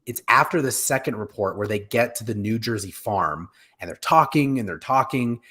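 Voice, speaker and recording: A man's voice: lowish voice, moderate pace, pushed and bright, husky. Middle-aged man hosting a casual chat. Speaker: host of an online discussion show. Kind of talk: casual chat about radio drama and film. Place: home room on a video call. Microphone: video call.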